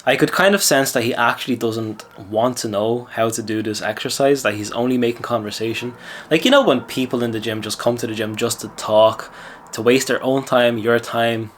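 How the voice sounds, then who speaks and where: A man from Lithuania who speaks with an Irish accent, his voice sitting at an ordinary middle pitch. He tells a story casually and then shifts into confident, lecturing advice as a mindset coach. A faint ambient hum sits under his voice. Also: normal speed. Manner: chatty, self-assured, lecturing.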